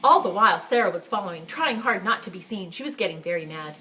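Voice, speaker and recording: A woman reading a picture book aloud to children as a library storyteller, with expressive, emphatic phrasing and chatty asides. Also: mid-range voice, fast talker, slight background noise, low-quality recording. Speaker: story time reader for a school library.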